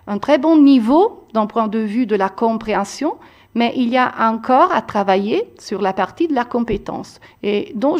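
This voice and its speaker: A woman making an emphatic statement on a panel, slowing down to stress her points. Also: on the high side, darkish tone. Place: public hall with audience.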